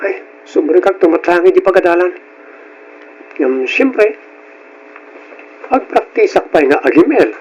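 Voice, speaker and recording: A man voicing a cheeky, evasive high school boy with an Ilocano accent in a radio drama. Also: big pitch swings, measured pace, pauses often, darkish tone, dull audio, slight background noise. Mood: grumbling, scheming. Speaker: drama voice actor.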